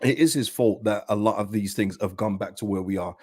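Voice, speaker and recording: A man with a slightly husky voice, hosting a casual football fan commentary. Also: lowish voice, fairly quick, dark tone, dry recording, clean studio sound. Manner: chatty, rambling, with frequent restarts and laughs. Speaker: football channel host.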